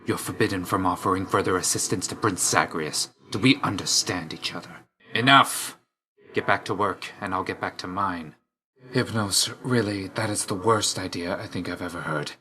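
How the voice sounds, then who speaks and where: An actor playing an aloof, weary young god of death in a video game, speaking in a restrained, clipped and solemn way. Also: male voice, deep voice, pushed and bright. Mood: guarded, serious, then stern, irritated.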